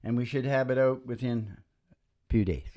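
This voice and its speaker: A man hosting a homemade radio show in casual, rambling banter, with halting, folksy asides. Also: mid-range voice, mellow. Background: acoustic guitar playing.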